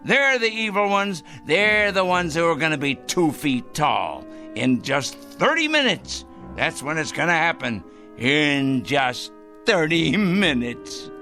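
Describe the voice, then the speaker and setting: An actor playing a paranoid, self-righteous crank in a radio drama, ranting in a fussy, theatrical way. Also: male voice, on the high side, sing-song, slow pace, sharp tone, faint music behind, full character voice. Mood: agitated, indignant. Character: paranoid, self-righteous crank obsessed with punishing evil people. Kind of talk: dramatic radio play acting.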